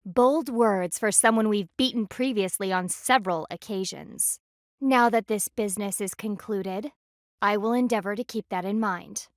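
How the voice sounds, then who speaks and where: A woman's voice: mid-range voice, normal speed, no room sound, studio quality, close to an everyday voice. A woman voicing a stern, proud female panda warrior in a video game, with formal, clipped and dryly sardonic diction. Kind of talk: video game character voice lines.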